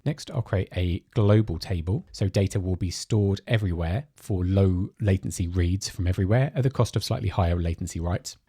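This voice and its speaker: Low, dark-voiced man explaining. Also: slightly rough, no echo, clean studio sound. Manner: measured, explanatory, walks through steps. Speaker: product demo presenter. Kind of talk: scripted technical screencast narration.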